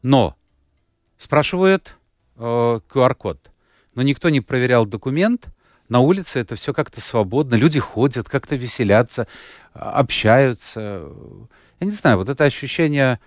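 A man's voice: medium pitch, expressive, moderate pace, no echo, studio quality. A man being interviewed who talks in a rambling way, with many fillers and restarts, and sounds like he is getting over an illness. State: unwell, recovering. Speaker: radio host, guest here. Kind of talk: relaxed conversational interview.

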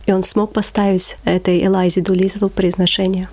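A woman's voice: mid-range voice, little pitch movement, moderate pace, a little mellow. A woman, an English teacher who runs a children's theater, talking expressively as an interview guest and sometimes dropping to a whisper for emphasis.

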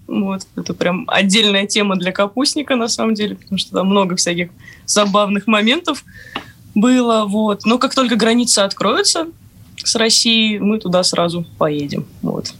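A young woman answers interview questions in a casual, conversational way. Her pitch is average for a woman, and she comes across as a student guest. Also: animated, normal speed, fairly bright tone, breathy edge.

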